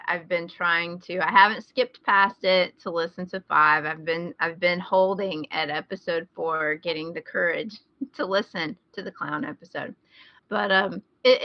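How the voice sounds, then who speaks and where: Low-pitched woman chatting on a podcast. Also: no echo. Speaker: podcast host. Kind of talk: casual podcast chat, recommending. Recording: slightly dull, lo-fi close recording.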